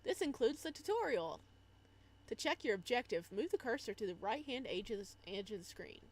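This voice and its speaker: A woman's voice: a little high, very animated, a little fast. A woman live-streaming a game in a casual style, reading on-screen text aloud and adding chatty asides.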